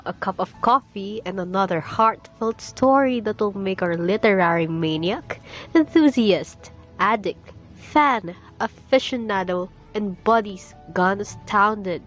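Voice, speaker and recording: A young woman acts as a radio DJ, reading a scripted intro in a chirpy, enthusiastic voice at an everyday pitch. Soft music plays faintly behind her. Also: rough recording. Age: young adult. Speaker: radio DJ host. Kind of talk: scripted radio show hosting.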